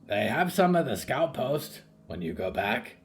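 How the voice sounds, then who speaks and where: A man voicing a huge, gentle, simple-minded giant with earnest, childlike phrasing in an audio fiction story. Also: medium pitch, sing-song, a little slow, faint music behind. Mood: curious, friendly.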